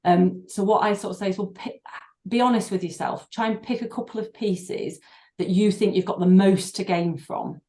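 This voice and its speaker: A middle-aged woman, a doctor and breathing therapist, speaking in a podcast interview, thoughtful and halting with many fillers and restarts. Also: mid-range voice, steady tone, darkish tone. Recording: echoey room.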